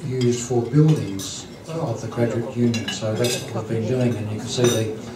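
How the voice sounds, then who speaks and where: A man hosting a lunch event, giving a halting formal introduction full of ums and throat clearing. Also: mid-range voice, a crowd in the background. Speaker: event host introducing a speaker. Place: dining hall at a lunch event. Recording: roomy hall, distant mic, clattering dishes.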